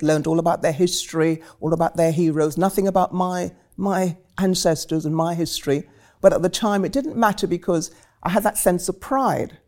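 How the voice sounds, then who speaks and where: A woman's voice: sits low, dark tone. A woman in her seventies from Trinidad, a guest telling her life story in vivid, rhetorical phrases. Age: 70s. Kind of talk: reflective personal storytelling.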